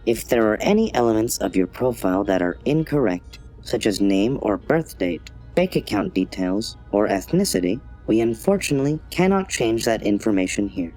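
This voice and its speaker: A man's voice: fairly low pitch, very animated, normal speed, few pauses, a little mellow, soft background music, low-quality recording. A man voicing a polite but obtuse customer-service AI in an audio drama, formal, scripted and impassive. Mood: procedural. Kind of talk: automated assistant in audio drama.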